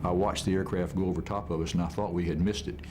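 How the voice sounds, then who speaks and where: A middle-aged man, an airline pilot, recounting his experience in an interview in a measured, matter-of-fact way. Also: sits low, steady tone, noisy background.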